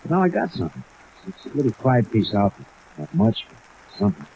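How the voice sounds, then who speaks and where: An American actor playing a weary, lovelorn jazz musician with slangy, hesitant speech in a 1950s radio drama. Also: male voice, mid-range voice, big pitch swings, quick pace, vocal fry. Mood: rueful, wistful. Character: weary, lovelorn male jazz trumpeter, down on luck. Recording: dull vintage radio, rain noise behind.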